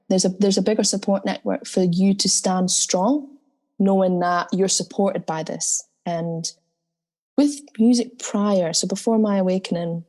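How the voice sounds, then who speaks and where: A woman singer speaking in an interview in a deep, soulful voice, earnest and spiritual in tone. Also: dark tone. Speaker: guest singer. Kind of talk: reflective interview talk.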